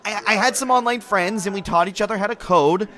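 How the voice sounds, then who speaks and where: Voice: mid-range voice, brisk, lightly acted. An actor playing a young, defensive and sarcastic rookie space ensign in a sci-fi audio drama, with a casual way of talking.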